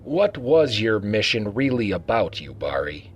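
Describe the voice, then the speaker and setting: A man's voice: on the low side, big pitch swings, measured pace, highs cut off. A man playing a stern, wry acting starship captain in a science-fiction audio drama, with a dry, commanding manner. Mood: stern, measured. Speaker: voice actor in fan audio drama.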